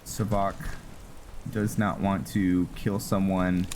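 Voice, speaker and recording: A man casually talking through his gameplay, musing aloud with frequent pauses and ums. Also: deep voice, level tone, noisy background. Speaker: gamer playing a roleplay let's play. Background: game music and rain sounds.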